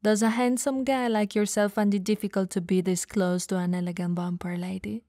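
A woman playing an elegant, dominant vampire lady who teases flirtatiously yet caringly in an ASMR roleplay, softly and breathily. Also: mid-range voice, little pitch movement, dry recording, clean studio sound, natural voice. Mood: teasing, seductive. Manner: soft, breathy, slow teasing delivery, often dropping to whispers.

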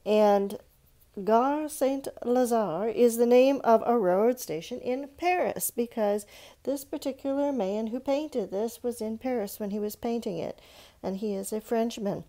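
Creaky-voiced woman teaching children. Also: fairly high pitch, a little slow, warm tone. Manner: instructive, addresses young viewers directly with questions. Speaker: art reader and presenter for children. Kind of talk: read-aloud art lesson for children, with chatty asides and questions. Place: indoors at a stone countertop with a book.

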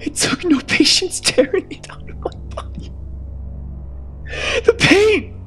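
A woman voicing a terrified, guilt-ridden husband in a first-person horror story, halting and breathy, often dropping to a whisper. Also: very high voice, expressive, full character voice. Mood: anguished, panicked. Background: background music.

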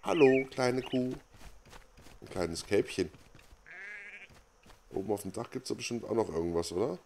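Male gaming host, lowish voice, offhand and casual, some noise behind him. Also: animated, normal speed, creaky, a little mellow. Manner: mutters offhand reactions to himself. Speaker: gaming let's play host. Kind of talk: casual unscripted game commentary.

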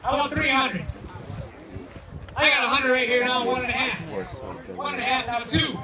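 A man working as an auctioneer, calling bids in a quick repeating chant at an outdoor yard auction. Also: very high voice, steady tone, distant mic, background noise. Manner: rapid rhythmic bid chant. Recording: echoey loudspeaker with loud crowd, from afar.

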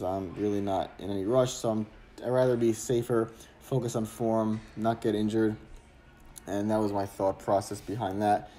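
A man's voice: lowish voice, a little hiss or hum. A young man in his twenties, a fitness vlogger, talking casually and explaining things with lots of fillers. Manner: relaxed, explanatory, filler-heavy. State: getting sick. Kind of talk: casual vlog talk to camera.

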